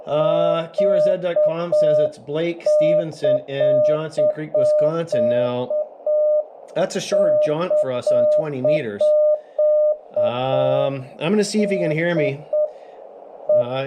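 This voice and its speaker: A man's voice: on the high side, measured pace. A Canadian man casually narrating a ham radio contact, thinking aloud with fillers at his radio station at home. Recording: loud radio noise and sidetone behind.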